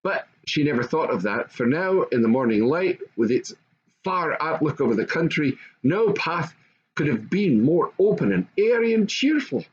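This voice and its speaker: An older man reads a classic fairy tale aloud in an expressive, measured, grandfatherly storytelling style. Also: on the high side, husky, highs cut off. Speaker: storybook reader.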